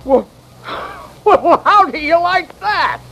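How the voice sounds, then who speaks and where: An actor playing a gullible, greedy saloon keeper in a 1950s radio western, with a folksy, stammering American drawl. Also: male voice, very high voice, expressive, slow pace, gravelly, bright, forceful tone, full character voice. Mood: gleeful. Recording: noisy old radio recording.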